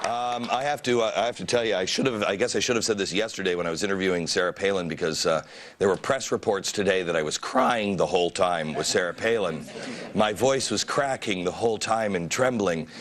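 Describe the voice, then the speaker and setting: Deep-voiced male TV host with a cold. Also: a little fast, fairly bright tone, a faint crowd. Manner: rambling, self-deprecating, with frequent restarts. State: has a bad cold, losing his voice. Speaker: talk show host. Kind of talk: studio panel discussion. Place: television studio with audience.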